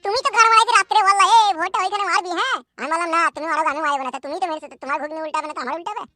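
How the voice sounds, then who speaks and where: A woman's voice: very high voice, very fast, sharp tone, no room sound, faint music behind. A woman voicing a cheeky, aggrieved young village lad in a comic cartoon, chattering bluntly. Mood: cheeky, scolding. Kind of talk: comic cartoon dialogue in rural dialect.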